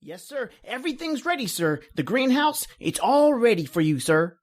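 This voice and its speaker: A man playing an earnest, deferential young assistant in an old-time radio drama, with a husky voice. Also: very high voice, sing-song, normal speed, full character voice. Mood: eager, deferential.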